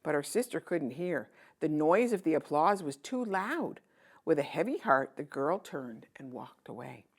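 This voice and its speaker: A woman narrating a children's storybook in an expressive storytelling style. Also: sits low, measured pace, slightly rough.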